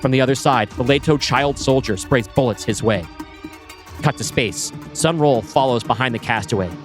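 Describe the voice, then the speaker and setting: A man reading his screenplay aloud, with brisk scene-setting narration. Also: mid-range voice, soft background music. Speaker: writer pitching a screenplay.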